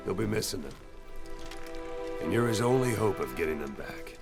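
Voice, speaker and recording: An actor playing a grave, calculating veteran soldier in a video game, speaking deliberately with dramatic pauses. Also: male voice, low voice, animated, creaky, over music. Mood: grave, commanding. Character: gruff, calculating male veteran soldier briefing a legendary commander. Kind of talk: cinematic video game voice acting.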